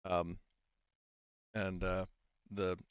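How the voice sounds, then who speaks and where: Male game master, smooth clear voice, halting improvised narration, slightly dull dry recording. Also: low-pitched, little pitch movement, no room sound. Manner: halting, stop-start phrasing.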